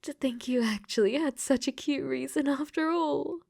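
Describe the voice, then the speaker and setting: A woman voicing a clingy, possessive AI dating-sim girl in a soft, coaxing, teasing ASMR roleplay that drops into whispers. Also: high-pitched, moderate pace, gravelly.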